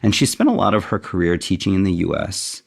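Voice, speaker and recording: Low gravelly-voiced man, reflective. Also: huge pitch swings, quick pace. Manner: thoughtful, candid, self-deprecating. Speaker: interview guest, author. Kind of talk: reflective interview conversation.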